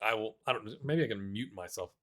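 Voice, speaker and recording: A man casually commentating over his own football management game, chatty and self-deprecating, muttering asides and then erupting with excitement at the big moments. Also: lowish voice, brisk. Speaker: gaming YouTuber playing a football manager game.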